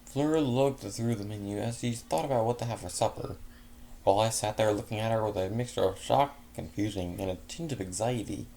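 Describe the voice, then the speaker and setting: A man's voice: lowish voice, a little fast, creaky, mellow, a little hiss or hum, rough recording. A man reading a first-person fanfic aloud in a casual way, often stumbling, correcting himself and making chatty asides. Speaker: fanfic reader.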